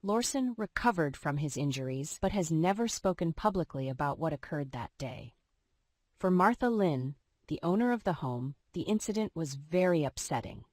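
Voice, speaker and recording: A woman with a low voice reads scripted true-crime narration like a news report. The sound is dry, with no room echo. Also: animated, moderate pace, darkish tone.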